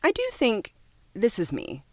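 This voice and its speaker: A woman's voice: on the high side, huge pitch swings, a little slow, darkish tone, no echo. A woman reading out a list as casual commentary, breaking off into informal, opinionated asides with some swearing. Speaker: reviewer reading a comics top-ten list. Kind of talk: casual list commentary, partly read from a script.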